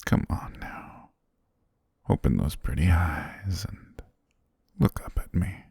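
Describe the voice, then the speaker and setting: Man acting as whispering, possessive, low-voiced master. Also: animated, dry recording, studio quality, heavily acted. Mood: soothing, coaxing. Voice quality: breathy, half-whispered. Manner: slow, gentle, coaxing, softly commanding. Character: calm, possessive male governor soothing his hypnotized pet. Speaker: ASMR voice actor. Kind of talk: ASMR roleplay. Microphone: very close, dry microphone.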